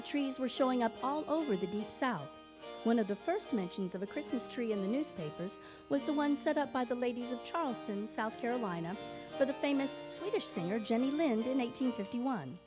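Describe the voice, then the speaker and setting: An actress playing a wry, affectionate wife who narrates Christmas history in a live stage show. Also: female voice, a little high, animated, a little fast, natural voice. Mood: storytelling. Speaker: mother and co-narrator. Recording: live stage recording under music.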